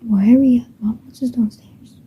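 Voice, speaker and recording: A woman voicing a cheerful, eager eleven-year-old girl in a homemade audio drama, in a soft, half-whispered delivery. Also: fairly high pitch, steady tone, normal speed, slight background noise, close to an everyday voice. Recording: dull muffled home recording.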